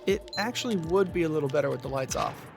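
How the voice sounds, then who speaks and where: An actor playing a cocky, wisecracking young gamer, bantering casually in an audio drama. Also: male voice, on the high side, expressive, fast, gravelly, music in the background.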